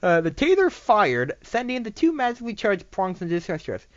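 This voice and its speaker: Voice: medium pitch, sing-song, normal speed, no room sound. An amateur narrator reading fan fiction aloud, often stumbling and repeating phrases. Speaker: story narrator. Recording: dull, dry home recording.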